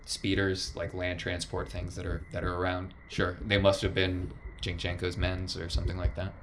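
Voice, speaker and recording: Male game master, low voice, wry casual narration, faint background noise. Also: monotone, normal speed.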